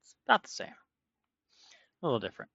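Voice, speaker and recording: A man's voice: fast talker, sharp tone, no room sound, lo-fi sound. A man narrating as game master of a tabletop game, laid-back and chatty with frequent laughs.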